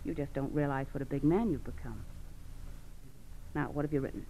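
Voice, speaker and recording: An actress playing a domineering, scheming American criminal wife in 1940s radio crime drama, bossy, coaxing and belittling. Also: female voice, a bass voice, brisk, warm tone. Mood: scheming, persuasive. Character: domineering, scheming criminal wife goading her frightened husband. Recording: dull old radio recording with hiss.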